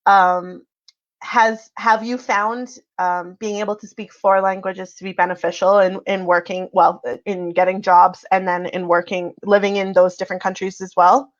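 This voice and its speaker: A woman from Canada hosts an interview, asking her questions attentively with an um here and there. Her voice sits at a middle pitch, and the audio is a little muffled and lacks crispness. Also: level tone, moderate pace. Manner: attentive, fills with um and mm-hmm. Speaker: interview host. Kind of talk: conversational interview.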